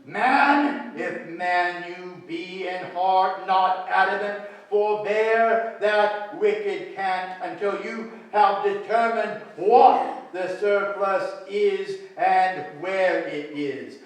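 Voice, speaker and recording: A man playing a stern, solemn spirit in staged reader's theatre, declaiming archaic lines gravely. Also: high-pitched, drawn-out words, hardly any pauses. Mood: scolding. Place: indoor hall stage with seated audience. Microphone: far camera. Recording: distant echoey hall recording.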